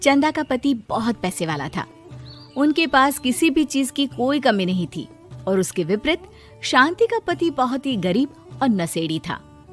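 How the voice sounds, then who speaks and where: A woman's voice: high voice, sing-song, unhurried. A woman narrator reading a scripted moral tale in a storytelling style. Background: background music.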